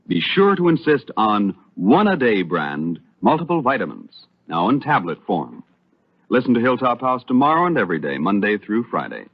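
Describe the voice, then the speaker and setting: A man's voice: lowish voice, huge pitch swings, normal speed, husky. An American man announcing and reading ads on mid-century radio, with a polished, emphatic sales delivery. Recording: dull, lo-fi vintage radio recording.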